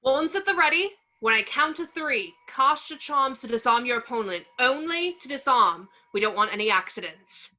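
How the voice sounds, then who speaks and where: A woman's voice: high-pitched, lively intonation, moderate pace, few pauses, sharp tone. A woman voicing a vain male wizard teacher in a script read, theatrical and breezy, on a dull home mic. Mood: showy confidence. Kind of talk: online script table read.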